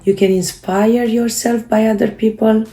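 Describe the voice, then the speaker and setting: Woman, Romanian native speaker, halting with fillers, gravelly low voice, warm, echoey room. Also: steady tone. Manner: halting, many fillers and restarts. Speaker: self-help creator. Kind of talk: casual motivational talk.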